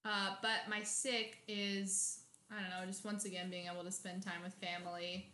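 A woman's voice: medium pitch, little pitch movement, normal speed, bright, forceful tone, reverberant, slight background noise. A young woman, co-hosting a casual podcast and telling dramatic stories with lots of laughter. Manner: chatty, dramatic storytelling with frequent laughs. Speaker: podcast co-host. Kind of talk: casual chat.

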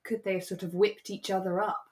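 A woman co-hosting a podcast, giving her opinions emphatically in relaxed, casual chat. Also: mid-range voice, brisk. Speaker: podcast co-host. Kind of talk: casual chat reviewing a radio drama.

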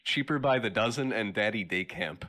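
A young man co-hosts a casual podcast with a very deep voice left scratchy by illness. He talks in a laid-back, halting way, with plenty of filler words and easy laughter. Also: animated, sharp tone. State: scratchy voice while recovering from illness. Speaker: podcast co-host. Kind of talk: casual comedic chat.

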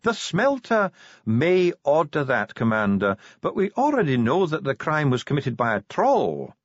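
A man's voice: on the high side, wildly swooping pitch, a little slow, no room sound, lo-fi sound, voice pushed up, exaggerated voice. A man voicing a haughty dwarf official in an audiobook, pedantic and condescending, with cool, needling venom. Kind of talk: audiobook character acting.

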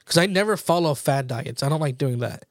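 Male, late twenties, lighter-pitched, dry sound, casual podcast chat, slangy, self-deprecating. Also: expressive, a little fast, no room sound. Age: late 20s. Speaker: podcast co-host. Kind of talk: casual chat between friends.

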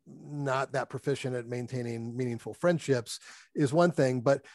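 A man's voice: mid-range voice, fairly even intonation, normal speed, darkish tone, no room sound, studio quality. A man in his early fifties, co-hosting a podcast and putting questions in a casual, conversational way. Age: early 50s. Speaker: podcast co-host, interviewer.

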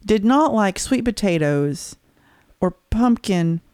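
A woman's voice: medium pitch, lively intonation, slow pace, darkish tone, smooth, no room sound, clean studio sound. A middle-aged woman co-hosting a podcast in casual chat, an attentive listener who answers with short agreements and teases dryly. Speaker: podcast co-host.

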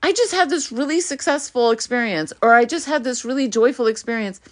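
A middle-aged woman hosting her own solo podcast, talking earnestly and reflectively, getting animated when she acts out what people say. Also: on the high side, fairly quick. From United States. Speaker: podcast host.

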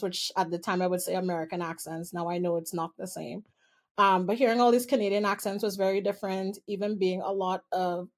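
Trinidadian-accented woman, interview guest, candid and amused, mid-range voice, leans on words for effect. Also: medium pitch. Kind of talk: personal storytelling in an interview.

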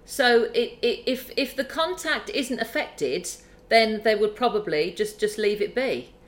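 A woman hosting a live call-in session, calmly explaining family law to callers. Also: fairly high pitch, a little slow. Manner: calm, explanatory, reassuring.

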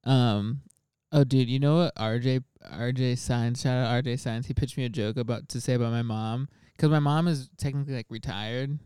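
Rambling young man, mellow smooth voice. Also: medium pitch, fairly even intonation, normal speed, few pauses, dry recording, clean studio sound. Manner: rambling, laughs a lot, many fillers and restarts. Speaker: podcast co-host, stand-up comic. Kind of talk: casual chat.

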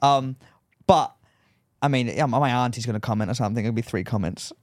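A man's voice: mid-range voice, a little fast, no echo, studio quality. A man in casual podcast chat, teasing and joking with his co-host. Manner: joking, teasing, laughs often. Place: podcast studio.

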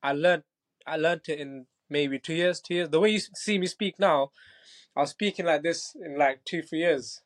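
A man's voice: on the high side, moderate pace. A man in his twenties, a fighter from Bangladesh, chatting casually as an interview guest and often asking "you know what I mean". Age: 20s. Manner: laid-back, repeats "do you know what I mean", laughs easily. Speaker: Muay Thai fighter, guest.

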